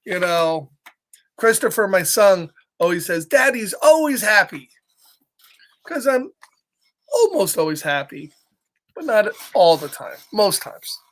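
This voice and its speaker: A middle-aged man hosts a live story time for children. He reads from a picture book in an animated, playful way. He breaks off often to chat, ask questions and greet listeners by name. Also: high-pitched, takes it slowly, pauses often. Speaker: storybook read-aloud host.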